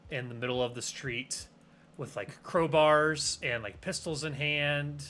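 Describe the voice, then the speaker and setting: A man's voice: on the high side, fairly even intonation, unhurried, sharp tone. A young man running a tabletop game as game master, rambling with plenty of fillers and restarts. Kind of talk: casual tabletop game narration.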